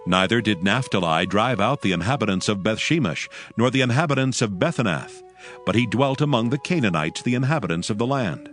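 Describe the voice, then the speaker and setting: Low-pitched man narrating scripture over music. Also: animated, fairly quick, fairly bright tone. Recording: music behind the voice. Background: background music.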